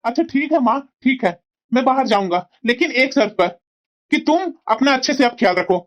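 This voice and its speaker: A woman's voice: high voice, expressive, moderate pace. A woman voicing an earnest young husband and dutiful son in a cartoon, talking in a firm, lecturing way. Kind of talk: cartoon voice acting. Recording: dull lo-fi recording.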